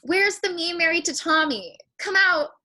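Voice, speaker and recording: A woman playing a determined, hopeful young aspiring writer, speaking deliberately in a comedic table read. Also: extremely high pitch, animated, pushed and bright, exaggerated voice. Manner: deliberate, resolute.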